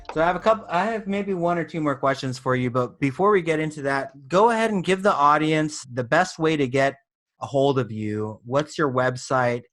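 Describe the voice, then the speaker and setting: A man's voice: mid-range voice, fairly bright tone. A man hosting an interview show, chatting casually and asking questions in an informal, curious way. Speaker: podcast host.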